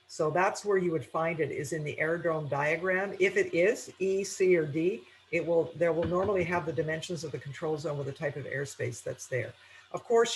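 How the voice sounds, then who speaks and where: A woman giving an informal aviation webinar lecture in a halting, explanatory manner. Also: on the low side, a little hiss or hum, low-quality recording. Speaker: aviation instructor.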